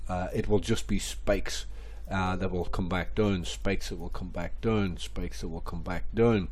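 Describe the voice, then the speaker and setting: A man, hoarse and stuffy from a cold, rambling through crypto chart analysis with many repeats and fillers. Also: deep voice, a little mellow, slight background noise. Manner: rambling, repeats phrases, many ums and restarts. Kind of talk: casual screen-share market commentary.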